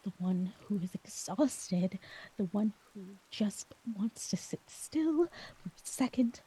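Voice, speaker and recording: A woman performing an emotional ASMR monologue as an exhausted, anxious character, speaking softly and haltingly, often near a whisper. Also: mid-range voice, husky, low-quality recording. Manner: slow, halting, vulnerable delivery. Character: exhausted, anxious woman crushed by others' expectations. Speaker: audio roleplay performer.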